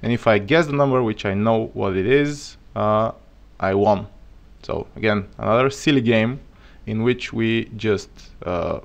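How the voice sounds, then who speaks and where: A man with a Bulgarian accent, a native Bulgarian speaker, teaching programming on a live coding stream. He thinks aloud as he works and jokes in a relaxed way, speaking into a desk mic at his computer. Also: lowish voice, a little slow, creaky, hardly any pauses.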